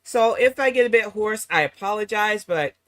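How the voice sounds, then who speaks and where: Sassy slightly hoarse woman streamer. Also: mid-range voice, animated, normal speed, a bit bright, no echo. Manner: sassy, mocking asides. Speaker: reaction channel host. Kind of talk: casual live-stream chat.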